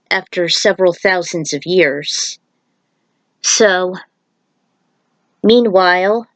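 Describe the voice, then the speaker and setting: A woman's voice: on the low side, no room sound, poor audio. A woman narrating her own fan fiction, moving between casual recap and reading aloud.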